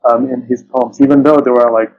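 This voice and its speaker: A man's voice: medium pitch, little pitch movement, brisk, dull audio. A man speaking as an interview guest and translator, thoughtful and hesitant, often rephrasing as he reflects.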